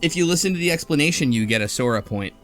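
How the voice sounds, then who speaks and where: A man's voice: fairly high pitch, animated, very fast, music in the background. A man hosting a casual group discussion, explaining things in an easily amused, conversational way. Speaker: podcast host.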